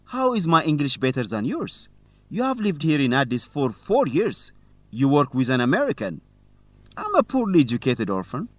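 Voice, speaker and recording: An incredulous orphan student who questions everything in a radio drama. His voice sits fairly high and swings widely as he makes his emphatic points. The sound is close and dry. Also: wildly swooping pitch, normal speed, no echo. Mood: incredulous, exasperated. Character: poorly educated male orphan, incredulous, questioning student reporter.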